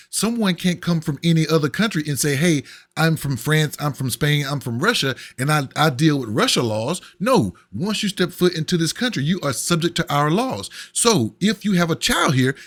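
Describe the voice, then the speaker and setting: A man giving heated, blunt political commentary, profane at times and often repeating himself. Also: on the high side.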